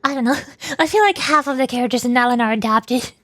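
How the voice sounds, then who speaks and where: A woman's voice: high-pitched, quick pace, a bit bright, no room sound. A woman streaming a game, chatting casually and often wondering aloud in questions. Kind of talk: casual livestream chat and reading game dialogue aloud.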